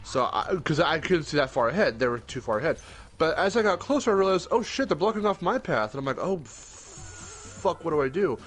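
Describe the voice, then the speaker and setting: A man's voice: fairly high pitch, sing-song, a little fast, a bit bright. A young man with a groggy morning voice, chatting casually as a podcast co-host, rambling and correcting himself, with the odd swear word. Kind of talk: casual chat.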